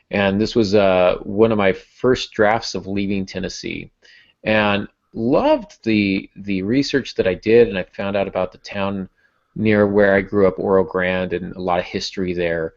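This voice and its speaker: A man's voice: sits low, gravelly, highs cut off. A man hosting a writing podcast in casual talk, with a self-deprecating, joking manner. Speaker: podcast host and fiction writer.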